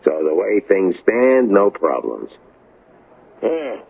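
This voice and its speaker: An actor playing a domineering American smuggler in a 1980s radio drama, with a gravelly, husky voice and curt, slangy, bossy delivery. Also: male voice, low voice, very animated, slow, heavily acted. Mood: confident, dismissive. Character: tough, domineering male smuggler on the run. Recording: narrow tinny old radio recording.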